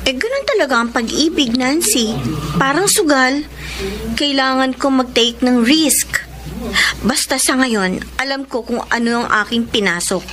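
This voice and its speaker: A woman's voice: high-pitched, lively intonation, measured pace, crowd noise. A woman playing a candid, romantically unsure young student in a radio soap, with a Filipino accent. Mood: earnest, assured. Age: young adult.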